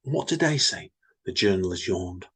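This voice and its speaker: Husky, lively man. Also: low-pitched, normal speed. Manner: lively, chatty, wry teasing. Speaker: podcast co-host and reader. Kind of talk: reading poems and casual chat.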